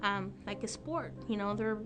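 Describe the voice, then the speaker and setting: A determined mother in her thirties speaks plainly in a documentary interview. Her voice is medium-pitched, and music plays clearly behind her. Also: moderate pace. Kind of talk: documentary testimony.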